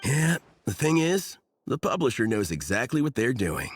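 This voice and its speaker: A man's voice: medium pitch, big pitch swings, normal speed, a little hiss or hum, lightly acted. An actor voicing an earnest, nerdy young man in a video game, talking at length with enthusiasm and a self-deprecating streak. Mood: rueful. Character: earnest nerdy young man, devoted mecha comic collector. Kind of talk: English video game voice acting.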